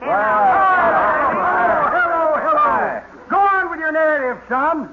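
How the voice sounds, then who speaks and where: A squeaky old western coot. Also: male voice, expressive, slow pace, over a noisy crowd, heavily acted. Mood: cackling, rambling. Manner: spins tall tales, calls people son and daughter. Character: cranky rambling old western man. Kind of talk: 1950s radio comedy acting. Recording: tinny noisy old radio broadcast.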